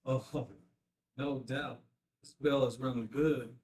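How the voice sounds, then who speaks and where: Actor playing terse mid-pitched police officer. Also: male voice, fairly even intonation, slow pace, a little mellow, close to an everyday voice. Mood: dry. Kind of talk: table read acting.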